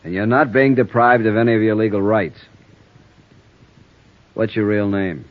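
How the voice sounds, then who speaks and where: An actor playing a calm, probing police sergeant questioning a suspect in an old radio drama. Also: male voice, on the low side, moderate pace, close to an everyday voice. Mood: steady. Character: steady middle-aged male police sergeant interrogator. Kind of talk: 1950s radio drama acting. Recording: dull old radio broadcast.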